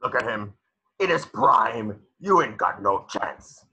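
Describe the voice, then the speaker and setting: A gruff old cartoon crab boss, with an extremely husky rasp and forceful edge. He is greedy and blustery, boasting with a lively pitch. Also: slow, heavily acted. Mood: boastful. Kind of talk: cartoon script table reading.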